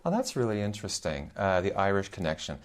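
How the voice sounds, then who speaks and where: A man's voice: deep voice, sing-song, fast talker. A man interviewing a guest on a university radio show, speaking with frequent ums, uhs and restarts. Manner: hesitant, many "uh" and "um" fillers and restarts. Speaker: interviewer, English professor. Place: radio studio.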